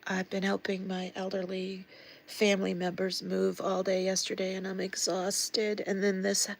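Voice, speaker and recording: A woman's voice: mid-range voice, monotone, moderate pace, creaky, slight background noise. A woman hosting a casual livestream. She is sniffly and losing her voice, and she talks earnestly with many fillers and restarts. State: sniffly, sad. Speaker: livestream host and activist. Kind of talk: casual livestream talk to viewers.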